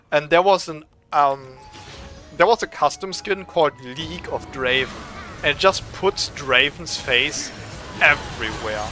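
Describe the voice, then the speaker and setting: A young man with a German accent, giving excitable, swearing, trash-talking gameplay commentary. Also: fairly high pitch. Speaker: gaming streamer.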